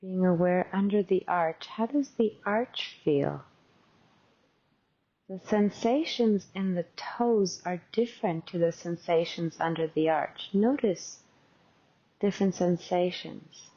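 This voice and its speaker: A woman teacher leading a guided meditation in a gentle, unhurried, conversational and softly instructive way. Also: on the low side, highs cut off.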